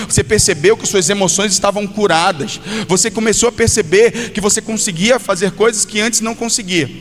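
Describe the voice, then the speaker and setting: A Brazilian male pastor delivers a sermon in a high-pitched voice. He exhorts his listeners directly, driving points home through repetition. His voice rings through a long hall reverb, with music playing behind him. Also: fairly bright tone. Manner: exhortative, direct address with acted-out quotes and repetition.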